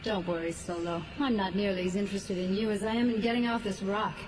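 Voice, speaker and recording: A woman's voice: medium pitch, fairly even intonation, fast talker, darkish tone, natural voice. An actress playing a flirty, sardonic female spacer in a sci-fi audio drama. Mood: teasing. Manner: teasing, sardonic. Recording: clear ambient noise.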